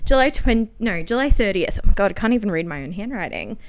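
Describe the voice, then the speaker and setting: Clear-voiced young Australian woman, casually confessional. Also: mid-range voice, quick pace. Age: 20s. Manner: animated, self-mocking, giggly asides, frequent "like". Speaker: podcast host. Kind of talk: casual, confessional podcast storytelling and diary reading.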